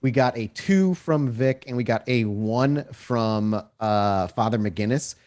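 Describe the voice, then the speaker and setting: Man narrating a tabletop game, medium pitch. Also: slow pace, darkish tone. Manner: descriptive, conversational. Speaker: game master.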